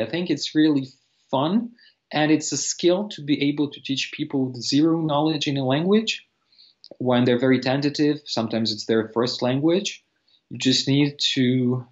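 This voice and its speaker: A young man whose first language is Russian, a language teacher speaking earnestly on a video call with many fillers and restarts. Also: medium pitch. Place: room with teal wall. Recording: dull lo-fi video call audio.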